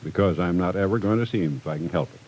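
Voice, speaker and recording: An actor playing a stern, unforgiving middle-aged businessman and father in an old radio drama, gruff, sarcastic and emphatic. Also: deep voice, rapid-fire, a little mellow, a little hiss or hum, natural voice. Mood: stubborn, outraged.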